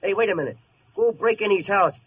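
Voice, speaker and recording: A man's voice: sits high, fast, slightly rough. A man playing a scheming, wisecracking small-time racketeer boss in old radio comedy, blustering and grumpy in slangy, streetwise talk. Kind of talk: 1940s radio comedy acting. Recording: muffled, noisy old radio broadcast.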